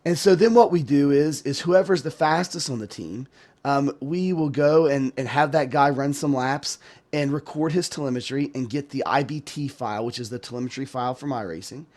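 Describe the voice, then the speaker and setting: A hoarse man with a sore throat. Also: medium pitch, normal speed, a little mellow. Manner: rambling, with many restarts. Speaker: sim racing channel host. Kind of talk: casual talk to camera.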